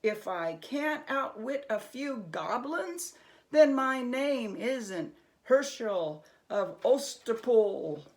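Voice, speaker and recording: A woman voicing a brave, clever man in a children's storybook, with a bold, deliberate, challenging manner. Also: fairly high pitch, lively intonation, voice pushed up. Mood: bold, eager. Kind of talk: acted children's storybook reading.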